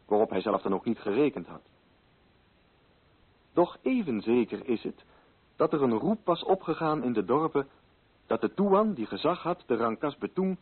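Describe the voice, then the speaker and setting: An actor playing a sober literary narrator, telling a story in scripted radio drama style. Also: male voice, mid-range voice, husky. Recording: muffled old radio recording.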